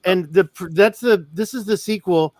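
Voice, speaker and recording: A chatty, excitable man with a fairly high voice jumps between stories and jokey plugs for his own books. He is recorded close and dry, with no room sound. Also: normal speed, no echo. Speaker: guest author. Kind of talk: casual chat.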